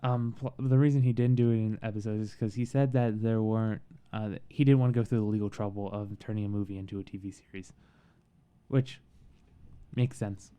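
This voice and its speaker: A man giving a casual, halting film review in a soothing voice, with frequent pauses and restarts. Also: on the low side, steady tone, warm tone, clear, resonant tone, lo-fi sound. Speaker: movie reviewer.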